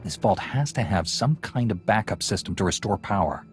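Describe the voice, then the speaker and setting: A resolute Jedi hero played by a man with a lowish, lively voice. He is earnest, firm and wary, in video game cutscene style over prominent music. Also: normal speed, natural voice. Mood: concerned.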